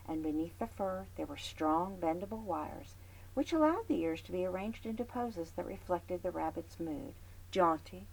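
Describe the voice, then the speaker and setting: A woman librarian reading a children's chapter book aloud, expressive, dropping to whispers and adding chatty asides. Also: on the low side, breathy edge, low-quality recording. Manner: friendly chatty commentary between passages.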